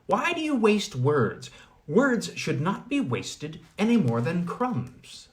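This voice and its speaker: A blind old woman from a storybook, wise and brisk, who scolds kindly and calls you dear, as a male reader puts her on. She talks higher and louder than the reader's own voice, and her pitch moves around a lot. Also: huge pitch swings, exaggerated voice. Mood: scolding kindly.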